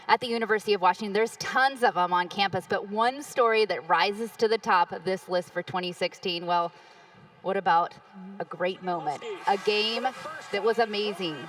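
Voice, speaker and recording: Female co-host, middle pitch, slightly off-mic, chatty questions to guests. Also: normal speed, a bit bright, no room sound, distant mic. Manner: conversational, leading questions. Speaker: event co-host. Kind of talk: live broadcast hosting.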